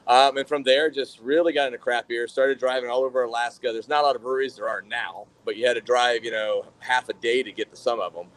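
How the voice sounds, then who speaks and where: A man in his thirties from Florida talks about his path into brewing in a relaxed podcast chat. He wanders through his story with plenty of fillers and false starts, sounding easygoing and unscripted. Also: mid-range voice, fast, few pauses. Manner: rambling storyteller with frequent fillers and restarts. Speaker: brewery general manager and brewer. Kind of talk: casual podcast interview.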